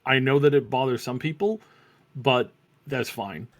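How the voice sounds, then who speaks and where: A man co-hosting a casual review discussion, reasoning out his opinions haltingly and repeating words as he goes. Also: medium pitch, a bit bright. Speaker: co-host of a review show.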